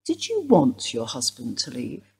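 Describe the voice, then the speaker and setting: A woman's voice: rumbling low, wildly swooping pitch, a little slow, vocal fry, breathy edge, exaggerated voice. An actress playing a formal, probing female detective inspector in a radio play.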